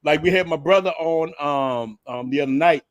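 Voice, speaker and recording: A man hosts a loose, casual conversation, his voice sitting a touch higher than most men's. He is laid-back and tosses questions to his guests between fillers. The sound is dry, with no room around it. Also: moderate pace, no room sound. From United States. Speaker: show host. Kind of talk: casual livestream chat.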